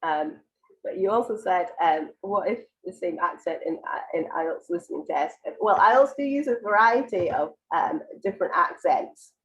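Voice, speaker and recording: A woman teaching an online IELTS writing lesson, croaky and congested from a cold, explaining patiently with many ums and restarts. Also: lowish voice, animated, moderate pace, rough recording. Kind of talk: live online lesson, answering viewer questions.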